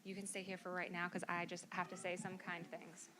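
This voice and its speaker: A woman speech clinician who guides and praises a child on stage, speaking in a gentle, coaxing way. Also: fairly low pitch, monotone, a little fast, a crowd in the background.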